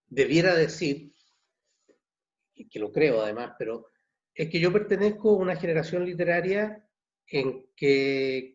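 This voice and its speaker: A man in his sixties from Chile with a Chilean accent, a novelist answering questions at an online book launch, halting and repeating words. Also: on the high side, darkish tone. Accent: Chilean Spanish. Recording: dull muffled video call audio.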